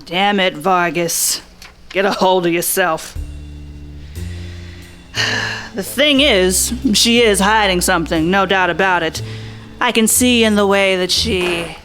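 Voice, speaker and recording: A woman's voice: mid-range voice, a little slow, fairly bright tone, soft background music, natural voice. Blunt hardboiled private eye, played by a woman. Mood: brooding narration. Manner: tough, slangy, plain-spoken. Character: blunt, observant hardboiled 1940s private eye Pal Vargas. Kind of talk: noir radio drama acting with inner monologue.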